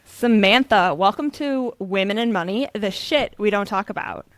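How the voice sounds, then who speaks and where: A woman's voice: medium pitch, normal speed, soft background music. A woman hosting a podcast interview, welcoming her guest and responding with enthusiastic, encouraging remarks. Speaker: podcast host.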